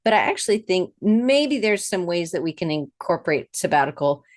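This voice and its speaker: A woman hosting a live interview stream, with a slightly low voice. She rambles in a chatty way and greets her viewers as she goes. The recording is close and dry, with no room sound. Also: very animated, normal speed, no room sound. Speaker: podcast host.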